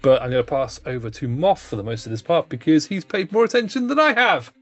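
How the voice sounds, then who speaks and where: A man's voice: a little high, animated, fast talker, fairly bright tone, no room sound. A man co-hosting a casual chat, laid-back and prone to laughing and swearing. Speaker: co-host.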